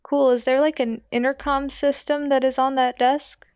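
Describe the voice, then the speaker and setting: A woman's voice: sits high, level tone, normal speed, darkish tone, no room sound. A woman speaking casually as a player in a tabletop roleplaying game, describing her character's actions.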